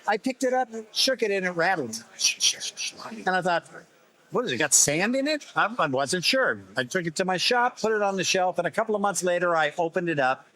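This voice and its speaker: Guy w higher voice, bit hoarse, chatting in an interview, kinda halting. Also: big pitch swings, fairly bright tone. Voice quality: slightly hoarse. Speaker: vintage computer repairer being interviewed. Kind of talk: conversational interview, explaining. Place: busy festival exhibit table.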